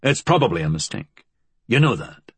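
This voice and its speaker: A man's voice: fairly low pitch, big pitch swings, normal speed, slightly rough, dry recording, heavily acted. A man reading the part of a brusque, impatient male investigator in an audiobook, curt and commanding. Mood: curt, probing.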